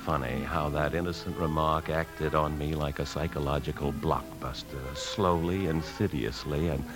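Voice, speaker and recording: An actor playing a weary, tempted bank manager who narrates in a measured, introspective way in a 1950s radio drama. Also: male voice, rumbling low, fairly even intonation, music in the background. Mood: brooding. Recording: 1950s radio broadcast recording.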